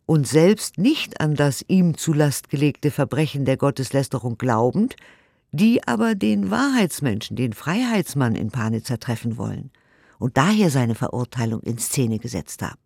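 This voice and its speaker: A woman's voice: a bass voice, expressive, moderate pace, vocal fry, mellow, slightly rough, no room sound, studio quality, exaggerated voice. An actor in a radio play voicing an earnest, indignant woman who reads 1890s letters and commentary emphatically and articulately. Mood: indignant conviction. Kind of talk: dramatic radio play recitation of historical documents.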